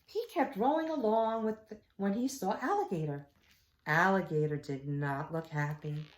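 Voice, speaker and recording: A woman teacher reading a storybook aloud to children, expressively, with quiet asides. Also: medium pitch, normal speed. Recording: echoey room.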